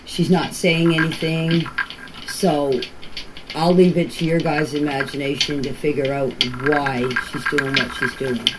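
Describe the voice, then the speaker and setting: A woman's voice: sits low, little pitch movement, measured pace. Older Maritime Canadian woman, noisy voice clips. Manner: repeats and rephrases herself. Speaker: family member speaking about a case. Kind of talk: informal spoken statement answering questions. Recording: noisy amateur voice clips with clicks.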